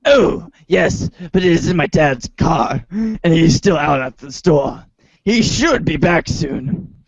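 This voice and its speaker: A brooding masked hero in a comic storybook parody, gruff and melodramatic. The voice is gravelly and husky, drawn out slowly, on a dull, muffled recording. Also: male voice, fairly high pitch, lively intonation, exaggerated voice. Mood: gruff, casual. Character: brooding gravelly Batman-style boy hero, gruff and dramatic. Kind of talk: comic read-along theater impression.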